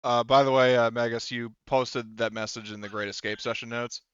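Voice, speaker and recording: A man running an online tabletop roleplaying game as game master, talking casually and haltingly as he thinks aloud and corrects himself. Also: mid-range voice, level tone, fairly bright tone, clean tone, no room sound, highs cut off.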